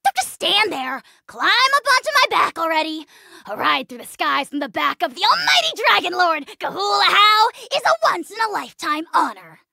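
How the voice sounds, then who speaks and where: Shrill, gravelly little boastful dragon lord. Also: female voice, big pitch swings, moderate pace, bright, forceful tone, no room sound, exaggerated voice. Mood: grumbling, bossy, then pompous. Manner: pompous, theatrical, taunting. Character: tiny boastful dragon lord, petulant, self-important, menacing. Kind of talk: video game character voice acting.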